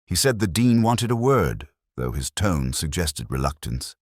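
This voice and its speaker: A man reading a scripted first-person detective story in a measured, deliberate, brooding way. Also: sits low, big pitch swings, no echo, studio quality. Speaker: narrator.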